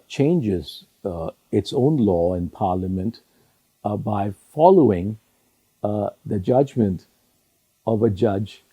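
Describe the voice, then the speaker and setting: An older man whose native language is Sindhi, answering interview questions in a measured way with many pauses and restarts. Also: fairly low pitch, warm tone, husky, no room sound, low-quality recording. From Hong Kong. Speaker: retired judge, interview guest.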